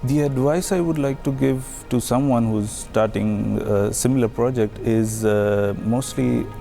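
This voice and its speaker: A man from India, a doctoral researcher, giving recorded interview answers in a measured way with many pauses and fillers. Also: medium pitch, vocal fry, warm tone, soft background music. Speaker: PhD researcher.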